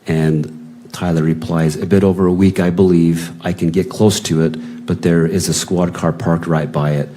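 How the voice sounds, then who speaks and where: Male witness reading a message exchange into the record, very low and mellow, no acting. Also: steady tone, normal speed. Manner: plain, unacted reading with attributions. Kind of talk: reading written messages aloud, hearing testimony.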